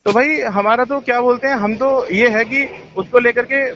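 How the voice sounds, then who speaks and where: A man's voice: very high voice, steady tone, moderate pace, bright, forceful tone, a little hiss or hum. A man talking informally on a recorded phone call, explaining himself insistently. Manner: insistent, explaining, repeatedly asking to be heard. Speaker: resort boss speaking on a phone call. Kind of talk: tense informal phone conversation.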